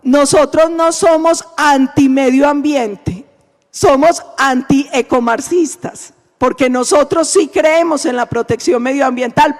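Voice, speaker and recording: A Colombian woman senator giving an emphatic political speech at a forum. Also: high-pitched. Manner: emphatic, denouncing, steady delivery. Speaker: senator, panel speaker. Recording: long reverb of a conference hall.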